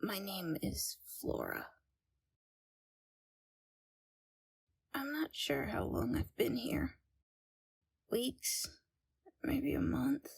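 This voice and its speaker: Woman playing a timid, breathy, high-voiced captive girl. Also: expressive, dry recording, heavily acted. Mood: frightened, hesitant. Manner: hesitant, halting, hushed and breathy. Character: timid, frightened young female captive, pleading. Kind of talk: scripted audio roleplay, ASMR.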